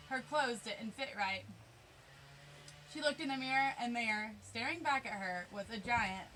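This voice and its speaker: A woman reading a children's picture book aloud, expressive and emphatic, drawing out words for effect. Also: fairly high pitch, poor audio.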